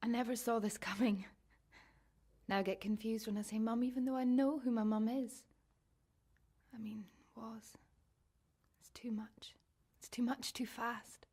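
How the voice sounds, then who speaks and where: An actress playing an overwhelmed, grieving young daughter confiding in a radio drama monologue. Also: female voice, on the high side, steady tone, unhurried, pauses often, a little mellow, husky. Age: young adult.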